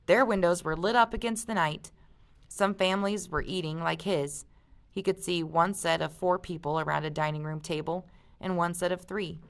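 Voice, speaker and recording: A woman with a slightly low voice reads a story aloud like an audiobook. She is expressive, and slips into casual, teacherly discussion with guiding questions. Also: moderate pace.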